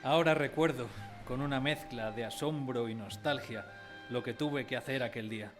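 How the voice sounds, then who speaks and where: A man playing a sensitive grown man who recalls his timid boyhood, in expressive, dramatised first-person storytelling. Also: medium pitch, normal speed, close to an everyday voice. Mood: nostalgic. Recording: prominent music behind the voice.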